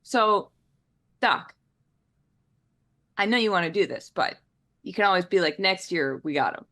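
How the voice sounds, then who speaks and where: A woman co-hosting a basketball podcast, giving a playful, animated pep talk in casual chat. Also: fairly low pitch, a little slow. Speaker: podcast co-host.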